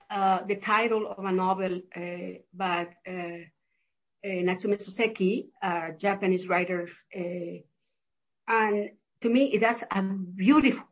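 A woman's voice: mid-range voice, highs cut off. A woman from Mexico with a Mexican Spanish accent, a professor and author answering interview questions hesitantly, with many fillers and restarts.

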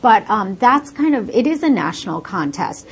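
A woman's voice: mid-range voice, big pitch swings, moderate pace, husky. A woman, born in India and raised in the United States, explaining her research fluently in a casual interview with many "like" fillers.

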